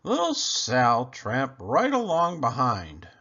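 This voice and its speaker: A man narrates a children's storybook with an expressive, animated delivery. His pitch is mid-range and moves up and down freely, with deliberate pauses. The recording is a little dull and lo-fi. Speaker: children's book reader. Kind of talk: scripted storybook narration, read aloud.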